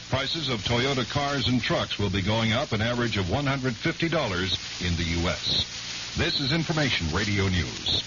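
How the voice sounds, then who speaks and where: An American man reading scripted news as a radio anchor. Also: lowish voice. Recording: dull, hissy 1970s radio recording.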